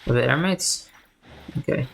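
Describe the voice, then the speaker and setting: A man's voice: medium pitch, normal speed, creaky, background noise. A man giving casual, laid-back playthrough commentary on a video game in brief offhand remarks. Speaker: game streamer.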